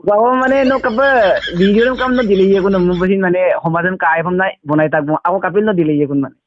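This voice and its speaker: A man chatting casually on the phone, speaking haltingly and mixing in English words. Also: high-pitched, dull audio, a little hiss or hum.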